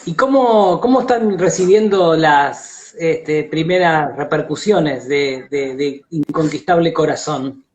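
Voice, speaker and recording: A man with an Argentine Rioplatense accent interviewing casually, halting and repeating words as he searches for phrasing. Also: a little high, a little mellow, low-quality recording.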